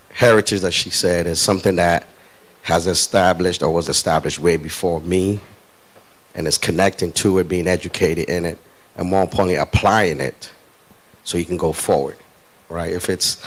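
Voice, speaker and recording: A middle-aged man from Ghana speaking as a guest on a panel in front of a live audience, in a measured and reflective way. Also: sits low. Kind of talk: panel discussion.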